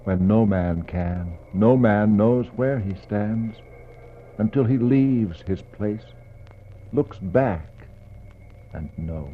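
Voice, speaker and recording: An actor playing a brooding polar explorer in a 1960s poetic radio drama, speaking in a solemn, declamatory way with dramatic pauses. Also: male voice, fairly low pitch. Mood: troubled wonder. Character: brooding male polar explorer searching for a lost expedition. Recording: dull, noisy 1960s radio recording.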